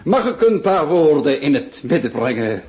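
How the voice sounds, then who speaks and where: An actor with a Flemish accent playing a pompous, eloquent marquis in a radio play, speaking in florid oratory. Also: male voice, fairly high pitch, sing-song, normal speed, background noise. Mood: pompous, solemn. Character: pompous, eloquent aristocratic marquis, duelling second. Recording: dull lo-fi radio recording.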